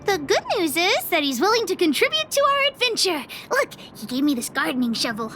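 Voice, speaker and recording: A cheeky little girl who bosses her gang around in a video game. The voice is extremely high and childlike, swinging up and down with great animation. She sounds self-important and excitable, with music playing underneath. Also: brisk, heavily acted. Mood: confident, brisk. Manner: self-important, cheeky, refers to herself by name. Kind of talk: video game character voice acting. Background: background music.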